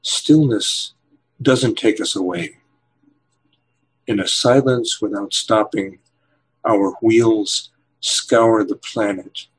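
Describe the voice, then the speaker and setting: A man in his seventies, a poet, reading a poem slowly and then answering interview questions with many pauses and fillers. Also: lowish voice, husky, low-quality recording. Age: 70s. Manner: slow measured reading, hesitant with fillers when chatting. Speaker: poet and novelist guest.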